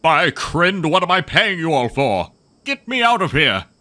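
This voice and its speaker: A man's voice: on the high side, very animated, a little slow, pushed and bright, heavily acted. A man playing a pompous, demanding, wealthy business mogul in a sci-fi audio drama, talking in a haughty, theatrical way. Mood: furious.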